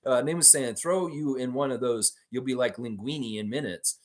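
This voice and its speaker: Casting note: male live-stream sports host, chatty and animated, jumps high and loud when joking. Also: moderate pace, slight background noise. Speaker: sports podcast host reading live chat. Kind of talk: casual live-stream chat.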